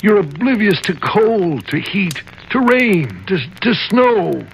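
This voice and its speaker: Creaky-voiced, urgent older dreamer. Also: male voice, sits high, big pitch swings, slow pace, full character voice. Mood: insistent. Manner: stammering, urgent, insistent. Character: excitable rambling older man, insistent, urging a schemer. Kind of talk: 1970s radio drama acting. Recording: noisy vintage radio broadcast.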